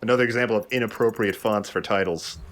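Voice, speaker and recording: A man's voice: fairly low pitch, steady tone, fast, fairly bright tone. A man chatting casually as he gives commentary on a video game he is playing. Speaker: let's play gamer and commentator. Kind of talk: casual joking gameplay commentary. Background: faint game music.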